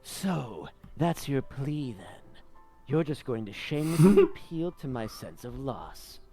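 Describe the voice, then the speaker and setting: A man's voice: medium pitch, big pitch swings, measured pace, mellow, faint music behind. A man voicing an embittered, menacing hedgehog military commander in a comedic fan dub, with cold, theatrical delivery. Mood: cold menace.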